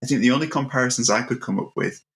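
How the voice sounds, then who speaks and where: A man's voice: medium pitch, rapid-fire, a bit bright. A man hosting a casual interview, talking enthusiastically and addressing guests and listeners. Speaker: interview host.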